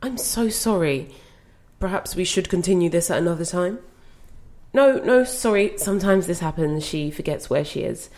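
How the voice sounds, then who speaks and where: A woman's voice: lowish voice, moderate pace, a little mellow, close to an everyday voice. A woman with a British accent playing a harried young granddaughter and carer in an audio play. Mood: anxious, apologetic. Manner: exasperated, patient on the surface. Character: harried young granddaughter caring for confused gran. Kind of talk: naturalistic audio play acting.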